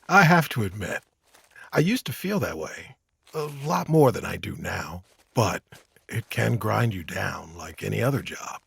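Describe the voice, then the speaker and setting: An actor playing a weary, kind monster-slaying hero in an audio drama, wry, candid and gently reassuring. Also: male voice, lowish voice, animated, measured pace, gravelly, husky, no room sound, heavily acted. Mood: reflective.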